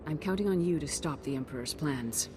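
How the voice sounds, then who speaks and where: A wise elder of a Jedi order acted by a woman in a game. The low voice carries calm, earnest authority, and prominent music plays behind it. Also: a little fast, close to an everyday voice. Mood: grave, earnest. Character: wise, composed female Jedi council master. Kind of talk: video game cutscene acting.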